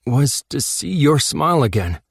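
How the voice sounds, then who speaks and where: Soft earnest teen boy, a bit husky, guy doing the voice. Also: medium pitch, big pitch swings, normal speed, no echo, clean studio sound, pitched above the performer's own voice, full character voice. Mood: earnest, tender. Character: earnest, gentle teenage boy waking in hospital.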